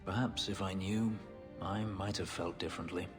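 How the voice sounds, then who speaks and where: A man acting a brooding, guarded former slave in a video game, speaking gravely in measured, halting confession. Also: fairly even intonation, lightly acted. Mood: somber, guarded. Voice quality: low, grave voice. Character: brooding male elf fugitive, a former slave, bitter and guarded. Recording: long reverb, music behind the voice. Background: music.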